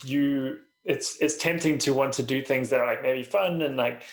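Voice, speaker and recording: A man's voice: mid-range voice, normal speed. A man hosting a podcast in a casual interview style, feeling his way through his questions.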